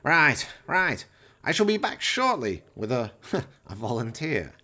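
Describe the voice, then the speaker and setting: Pompous, swooping mad scientist. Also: male voice, mid-range voice, big pitch swings, takes it slowly, bright, forceful tone, heavily acted. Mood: commanding, excited. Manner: pompous, florid, grandiose declamation. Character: pompous, vain mad scientist villain, theatrical and scheming. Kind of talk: radio play acting.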